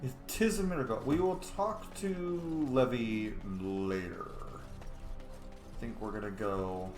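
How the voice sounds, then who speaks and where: A man hosting a let's play, commenting casually and excitedly, swearing and humming along as he plays. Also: mid-range voice, slow. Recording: echoey room. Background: game music.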